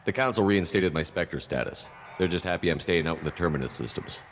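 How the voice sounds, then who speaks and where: A man voicing a steady, resolute military commander in a sci-fi video game. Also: deep voice, fairly quick, a little hiss or hum.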